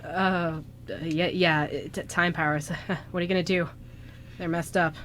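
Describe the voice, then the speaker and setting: A woman's voice: on the low side, steady tone, measured pace, a bit bright. Woman playing anxious stammering young man, noisy recording. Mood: nervous, flustered. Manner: stammering, hesitant, flustered. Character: anxious young male time traveler, now a ghost. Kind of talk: amateur radio drama acting. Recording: noisy amateur radio show recording.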